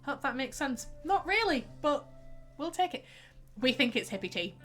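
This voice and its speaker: A woman's voice: high-pitched, sing-song, normal speed, fairly bright tone, soft background music. A young woman with a light Yorkshire accent, chatting and sighing playfully while streaming a game. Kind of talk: casual gameplay commentary.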